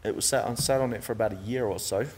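A man's voice: lowish voice, rapid-fire, darkish tone, slightly rough, big-room sound. A man, a church cofounder, answering interview questions in a casual, rambling way inside a large chapel. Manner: easygoing, rambling, many restarts.

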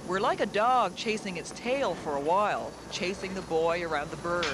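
A man's voice: high-pitched, moderate pace. A man reading scripted narration for a television documentary. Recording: engine and vehicle noise behind.

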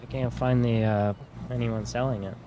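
A man's voice: mid-range voice, steady tone, normal speed, warm tone. A man improvising casually as a mechanic in an online roleplay game, rambling and swearing as he talks. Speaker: roleplayer playing an auto shop mechanic. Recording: clearly noisy game voice chat, vehicle sounds.

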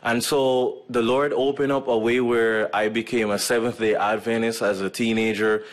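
A Jamaican man in his thirties, a medical missionary, giving a relaxed personal testimony to a church audience from a lectern in an auditorium, with many ums and uhs. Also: medium pitch, normal speed. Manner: relaxed storytelling with frequent fillers. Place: auditorium stage with curtain backdrop. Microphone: stand mic at a lectern.

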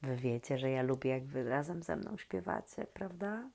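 A woman reading a children's story expressively and talking gently and directly to young listeners. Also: extremely low pitch, expressive, moderate pace, creaky.

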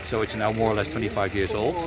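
An older man from the Netherlands with a Dutch accent speaks in English on an outdoor campus, calmly repeating phrases for emphasis, recorded on a phone held at arm's length. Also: lowish voice, brisk, low-quality recording. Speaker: academic delegation head. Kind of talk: street interview. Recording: outdoor crowd chatter behind.

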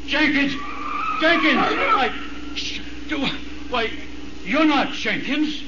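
A man's voice: very high voice, lively intonation, slow pace, exaggerated voice. A man playing a stubborn, indignant, wealthy old man, sputtering in 1930s radio drama style. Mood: alarmed. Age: older. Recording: muffled, noisy 1930s radio recording.

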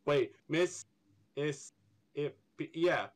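A man's voice: a little high, very slow. A young man from Ireland with a slightly American-tinged Irish accent, chatting casually as an interview guest, rambling and stammering with frequent laughs. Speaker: artist guest.

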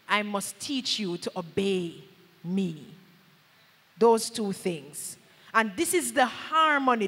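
Jamaican lady pastor giving a sermon, high bright voice, echoey church hall, chatty but punchy, bit of patois. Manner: emphatic, conversational, drops into Patois. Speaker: pastor preaching. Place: large church hall at a pulpit. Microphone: handheld microphone. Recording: echoey hall through PA microphone.

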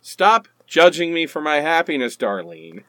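A man's voice: a little high, sing-song, unhurried, pushed and bright, dry recording, full character voice. A man playing a pleading, sarcastic partner who bickers in a comedic radio play.

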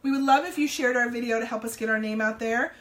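Chatty, slightly hoarse New woman. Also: a little high, fast, a bit bright. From New Jersey, US. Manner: chatty, upbeat, many ums, greets viewers. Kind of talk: casual live-stream sales chat. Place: home room, indoors.

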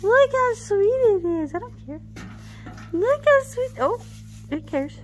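A woman's voice: extremely high pitch, very animated, takes it slowly. A woman chatting casually with a child and cooing baby talk to animals. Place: dairy farm, among calves and cows. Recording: noisy farm ambience.

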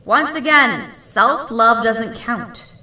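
A woman's voice: on the high side, takes it slowly, sharp tone, echoey room, far from the mic, heavily acted, low-quality recording. A woman voicing a bored, flippant female ghost pony in a comic dub, offhand and sardonic.

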